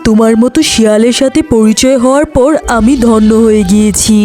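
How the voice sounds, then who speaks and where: A woman voicing a kind, generous magical cow in a cartoon, with earnest, expressive delivery. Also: a little high, fairly quick, music in the background, natural voice. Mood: warm, grateful.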